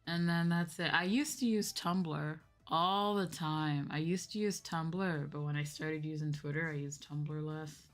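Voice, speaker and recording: A woman's voice: deep voice, fairly even intonation, measured pace, hardly any pauses, soft background music. A young woman streamer chatting casually and informally with her viewers on a livestream. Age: young adult.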